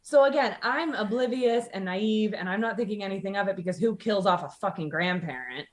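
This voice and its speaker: A woman's voice: medium pitch, fairly quick. A woman telling a personal story casually as a podcast guest, candid, sardonic and sweary, acting out the dialogue she quotes.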